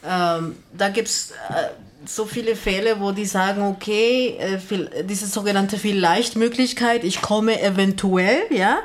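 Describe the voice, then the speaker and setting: A woman's voice: mid-range voice, steady tone, measured pace. A woman from Houston, Texas, a guest on a radio panel, speaking German as a with frequent grammar slips and fillers. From Houston, Texas, USA. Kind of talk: casual radio panel chat.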